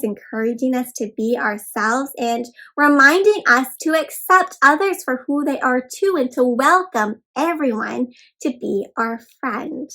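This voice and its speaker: A woman's voice: high-pitched, bright, forceful tone. A woman hosting an interactive storybook read-aloud for young children, speaking expressively and encouragingly and often putting questions to her listeners. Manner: addresses listeners as friends.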